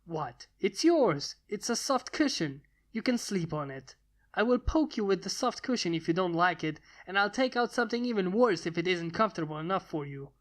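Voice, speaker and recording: Narrator playing eccentric male inventor, storybook character, formal, pompous, gruff, wry muttering. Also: mid-range voice, lively intonation, normal speed, close to an everyday voice. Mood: exasperated.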